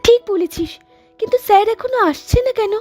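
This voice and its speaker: A woman's voice: extremely high pitch, brisk, a little mellow. A woman voicing an anxious young village pupil in a horror cartoon. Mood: uneasy, curious. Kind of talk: dubbed horror cartoon dialogue. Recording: music under the voice.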